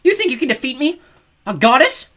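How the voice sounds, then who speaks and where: A man's voice: sits high, sing-song, a little fast, a bit bright, pitched above the performer's own voice, heavily acted. A man voicing a cruel, haughty female snow goddess who snarls and scorns. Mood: furious.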